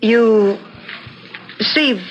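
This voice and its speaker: An actress in a 1970s radio drama playing a suspicious, determined woman who speaks in a serious, measured, pointed way. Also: fairly high pitch, muffled recording, soft background music. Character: suspicious, determined woman, loyal friend of the deceased. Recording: noisy old radio broadcast recording.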